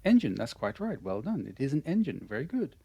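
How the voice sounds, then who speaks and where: A man's voice: medium pitch, big pitch swings, fairly quick, creaky, mellow, slightly rough, no room sound. A man with an Irish accent teaching English pronunciation in an online lesson. He talks patiently and encouragingly, repeating his praise often. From Ireland.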